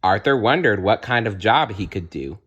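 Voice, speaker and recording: A man reading a children's story aloud as narrator, with expressive delivery. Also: fairly low pitch, moderate pace, dull audio. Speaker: storybook reader.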